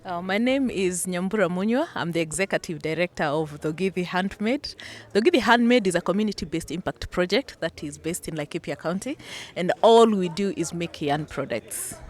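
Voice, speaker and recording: A woman's voice: lowish voice, animated, a little fast. A woman from Kenya with a Kenyan accent, a business founder answering interview questions conversationally. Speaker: business founder and executive director, interview guest.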